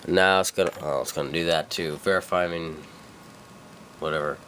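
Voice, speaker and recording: A man's voice: low voice, fairly even intonation, moderate pace, pushed and bright, slight background noise. A young man with a stuffy cold, casually talking through a computer tutorial, rambling and correcting himself with many fillers. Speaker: tutorial maker. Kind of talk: casual screen-recorded tutorial. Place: dim room at a computer.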